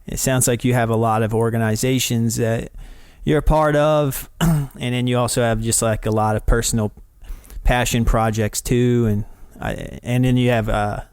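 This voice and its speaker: A man's voice: mid-range voice, fairly even intonation, normal speed, darkish tone, smooth, no room sound. A man from North Carolina hosting a podcast, friendly and upbeat, chatting casually with lots of fillers. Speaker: podcast host. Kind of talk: casual interview chat. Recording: dry close sound with faint music behind.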